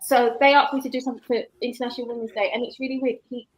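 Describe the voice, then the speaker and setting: A woman, a guest author talking casually in an interview, chatty and quick to laugh. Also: fairly high pitch, little pitch movement. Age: 40s.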